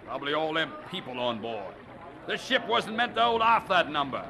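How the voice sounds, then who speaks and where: A surly gravelly sea captain. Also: male voice, a little high, lively intonation, normal speed, a crowd in the background. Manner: surly, rough working-class speech. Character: gruff grumbling male ship captain. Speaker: ship captain. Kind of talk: radio drama acting.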